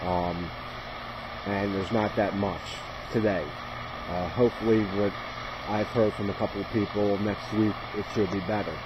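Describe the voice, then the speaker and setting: A man casually narrating his radio hobby in a halting, unpolished, informal way. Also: lowish voice, flat delivery, noisy background. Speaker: shortwave radio hobbyist and video host.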